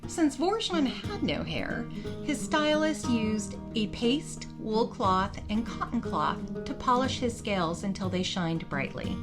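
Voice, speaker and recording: A woman reading a scripted fantasy story as its narrator, voicing dialogue along the way. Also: mid-range voice. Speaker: narrator of a fantasy fiction podcast. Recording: music behind the voice. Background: instrumental music.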